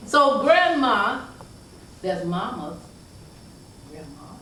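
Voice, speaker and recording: An older woman telling family stories in a folksy style to a live audience, chuckling through drawn-out asides. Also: mid-range voice, very animated, pushed and bright, a little hiss or hum. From North Carolina. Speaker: storyteller. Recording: echoey room heard from a distance.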